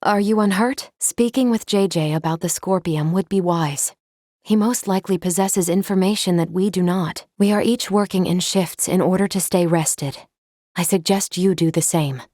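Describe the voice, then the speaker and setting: Female android played by a woman, game character, formal and precise, slightly low pitch, dry close sound. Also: normal speed, a little mellow, dry recording, natural voice. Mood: calm, measured. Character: composed, formal female android crew member, calm and dutiful. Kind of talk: scripted video game character lines.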